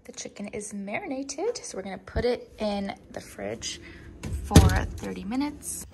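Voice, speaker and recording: A woman's voice: medium pitch, lively intonation, a little slow, vocal fry, background noise. A young adult woman casually narrating her day for a vlog, chatty and full of fillers. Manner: chatty, filler-heavy, talks to the viewer. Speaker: vlogger.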